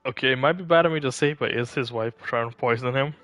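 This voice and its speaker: A man's voice: medium pitch, quick pace, a bit bright, no room sound. A man giving casual, think-aloud commentary as he livestreams a video game. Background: faint game music.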